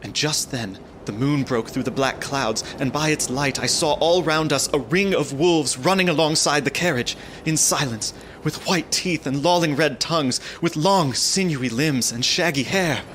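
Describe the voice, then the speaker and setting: A man's voice: fairly high pitch, moderate pace, hardly any pauses, a bit bright, a little hiss or hum, close to an everyday voice. An actor playing an earnest, apprehensive young English clerk narrating his journal in a gothic audio drama.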